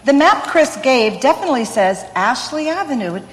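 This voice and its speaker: A woman's voice: high-pitched, sing-song, moderate pace, long reverb tail. A woman playing a sensible, caring young mother in a radio play, tender and coaxing in her delivery.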